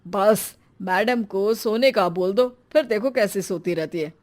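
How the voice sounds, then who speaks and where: A man's voice: sits high, unhurried. A man acts out a domineering middle-aged father and husband in a cartoon story. He is harsh and belittling. He talks in a scolding way. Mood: sarcastic.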